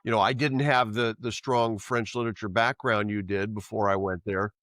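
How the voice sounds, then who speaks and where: A man's voice: on the low side, steady tone, moderate pace, a bit bright, no room sound. A man hosting an interview podcast over a remote call, chatty and self-deprecating with many fillers, while he has bronchitis. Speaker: podcast host.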